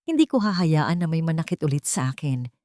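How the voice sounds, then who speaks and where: A woman's voice: low voice, sing-song, brisk, dark tone, dry recording, studio quality. A woman voicing a hurt but resolute young adult daughter in an audio love story, earnest and emotional.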